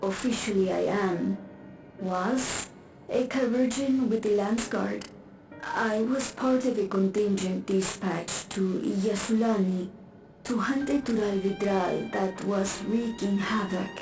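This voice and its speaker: An actress playing a weary, hesitant woman scholar-soldier recording a private, formally worded audio diary for a video game. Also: mid-range voice. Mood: sombre, hesitant. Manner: halting, reflective, formal wording. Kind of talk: voiced game dialogue, spoken audio diary. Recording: roomy, distant, music behind.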